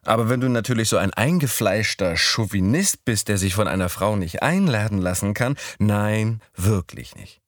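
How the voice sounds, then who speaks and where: A man voicing a bold, whimsical young woman in an audiobook, with a raised, lively, teasing lilt. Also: on the low side, moderate pace, hardly any pauses, no room sound, clean studio sound, pitched above the performer's own voice, close to an everyday voice. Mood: breezy. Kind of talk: audiobook character voice.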